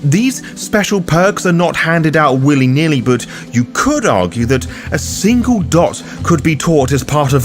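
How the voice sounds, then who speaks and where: A man hosting a roleplaying guide, reading scripted explanatory narration in a theatrical, cheeky manner. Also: medium pitch. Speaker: host of a tabletop roleplaying guide.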